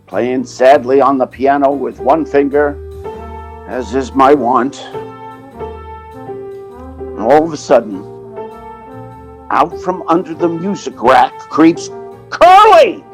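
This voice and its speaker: A man's voice: mid-range voice, animated, slow pace, pauses often, faint music behind. A man playing a sentimental theatrical agent who tells his sad tale in a folksy, slangy, wistful way, in old time radio drama style. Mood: amazed, tender.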